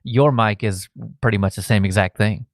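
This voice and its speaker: A man's voice: on the low side, moderate pace, no echo, clean studio sound. A man co-hosting a podcast in loose, casual conversation. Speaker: podcast co-host. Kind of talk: casual chat.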